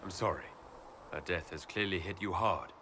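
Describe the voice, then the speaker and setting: A man's voice: low voice, moderate pace, noisy background, close to an everyday voice. An actor playing an earnest, idealistic male hero in a fantasy video game, speaking directly. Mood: sympathetic.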